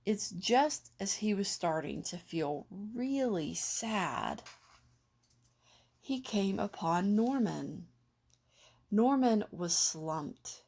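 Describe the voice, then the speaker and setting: A woman reading a children's picture book aloud in a measured, expressive storytelling style. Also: medium pitch, husky. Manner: measured storytelling with acted dialogue.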